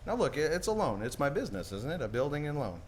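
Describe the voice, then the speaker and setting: Radio drama lead: kind, folksy businessman, played by a man, animated pitch, rapid stammering delivery. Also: a little hiss or hum. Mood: earnest, generous. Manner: folksy, hesitant stammer, exclamatory. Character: earnest, excitable, kind small-town businessman. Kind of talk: 1940s radio play acting.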